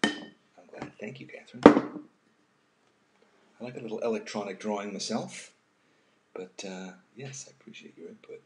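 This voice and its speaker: Man in his sixties, low voice, halting casual delivery, murmured phrase endings, echoey indoor room. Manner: halting, thoughtful, often trailing off to a murmur. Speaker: host of a live drawing session. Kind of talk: unscripted casual talk while drawing. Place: home kitchen, indoors. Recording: roomy echo, faint background noise.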